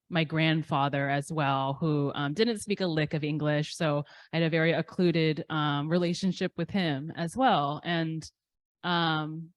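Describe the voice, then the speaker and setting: A woman in her thirties answers interview questions in a relaxed, candid way. She is self-deprecating and pauses often with ums. Her voice sits low, and the recording is close and dry with no room echo. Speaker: poet guest.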